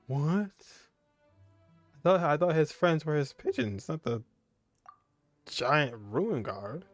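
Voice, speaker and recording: A man's voice: fairly high pitch, big pitch swings, slow pace, faint music behind. A man hosting a casual let's-play, talking in bemused asides and mild exclamations. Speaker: gaming video host.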